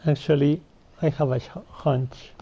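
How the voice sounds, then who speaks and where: A man's voice: on the high side, level tone, measured pace, noisy background. A laid-back man doing casual video game playthrough commentary and reading out dialogue, on a muffled mic with faint game music behind. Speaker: gamer playing through a video game.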